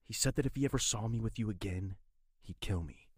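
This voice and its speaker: Woman playing husky, deep-voiced, pleading young male ex-lover. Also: a little fast, a little mellow, no room sound, studio quality. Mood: grave, earnest. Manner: intense, urgent, pleading. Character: earnest, wounded young man, a pleading jilted former lover. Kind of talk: dramatic audio drama acting.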